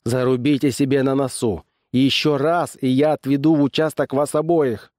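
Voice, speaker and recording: A man's voice: medium pitch, fairly even intonation, normal speed, a little mellow, dry recording, studio quality, pitched above the performer's own voice, close to an everyday voice. A man voicing a stern, exasperated small-town constable who barks orders in an audiobook story. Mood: angry, authoritative. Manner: barking, stern, weary of troublemakers. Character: gruff, exasperated small-town male constable laying down the law.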